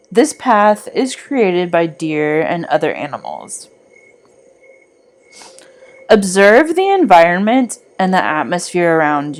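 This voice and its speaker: A woman reading a scripted guided meditation in a soothing, deliberate manner with long pauses. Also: on the low side.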